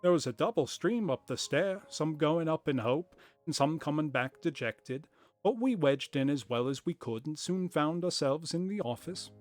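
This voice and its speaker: A man's voice: mid-range voice, lively intonation, moderate pace, no echo, close to an everyday voice. Man voicing a storytelling shopkeeper, put-on period accent, mid-range voice, faint music underneath. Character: chatty middle-aged male shopkeeper telling his story. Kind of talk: reading a story aloud.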